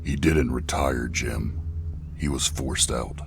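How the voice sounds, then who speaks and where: A man's voice: extremely low pitch, steady tone, slow pace, background noise, exaggerated voice. A man playing a grim, guilt-laden masked vigilante in a comic dub, terse and grave.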